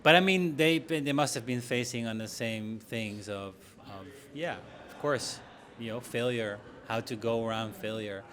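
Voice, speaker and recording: A man's voice: medium pitch, unhurried, hardly any pauses, fairly bright tone. A man hosting a casual interview, friendly, often searching for words with fillers and restarts. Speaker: podcast host interviewer.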